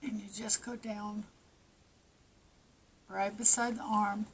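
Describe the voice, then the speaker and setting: A woman's voice: mid-range voice, flat delivery, takes it slowly, a little hiss or hum, low-quality recording. Congested woman teaching crochet. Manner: plain, practical instructions with small restarts. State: stuffy nose, losing her voice. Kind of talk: step-by-step craft tutorial.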